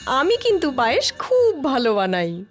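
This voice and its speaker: High, swooping sly cartoon fox. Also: female voice, wildly swooping pitch, normal speed, faint music behind. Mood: eager. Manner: cartoonish, exaggerated, sing-song. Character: sly, chatty fox in an animal fable. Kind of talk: children's cartoon dubbing.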